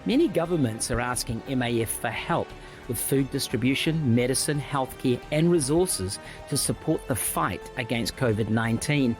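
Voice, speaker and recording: A man's voice: mid-range voice, lively intonation, moderate pace, soft background music. A man reading a scripted charity appeal for a radio ad. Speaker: charity spokesman in an ad.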